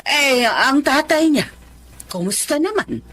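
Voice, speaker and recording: A woman's voice: high-pitched, lively intonation, takes it slowly, fairly bright tone, slight background noise, full character voice. A woman playing a nosy, blunt friend in a radio drama, gossipy and exclamatory. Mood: curious, impressed.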